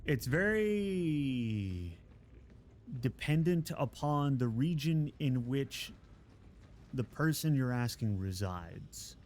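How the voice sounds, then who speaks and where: Man from texas, game streamer, casual rambling chat, laughs at himself, mid-pitched voice, faint background noise. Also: sing-song, slow, a little mellow. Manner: rambling, self-deprecating, chuckles often. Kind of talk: casual chat while gaming.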